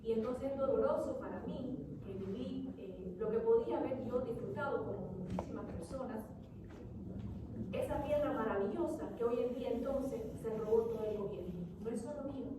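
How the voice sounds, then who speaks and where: A middle-aged woman from Cuba with a Cuban accent, giving earnest, halting impromptu testimony at a public event. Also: fairly high pitch. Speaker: audience member giving personal testimony. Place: event hall, recorded from a distance. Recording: echoey hall, distant mic, noisy background.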